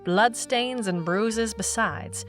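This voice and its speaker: A woman's voice: medium pitch, slow pace, faint music behind, lightly acted. A woman playing a wry, world-weary, tough private eye, narrating a hardboiled noir audio drama. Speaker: lead narrator.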